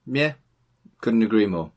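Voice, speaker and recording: A man hosting a podcast interview, asking questions in a relaxed conversational way and swearing bluntly now and then. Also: on the low side, measured pace. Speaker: podcast host, humanist celebrant. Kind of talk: casual interview.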